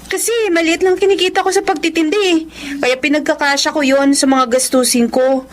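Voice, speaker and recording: A woman's voice: high voice, steady tone, a little fast, a little hiss or hum, natural voice. A woman with a Filipino accent playing a poor, easily delighted young street vendor in a radio drama. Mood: humble. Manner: girlish, deferential.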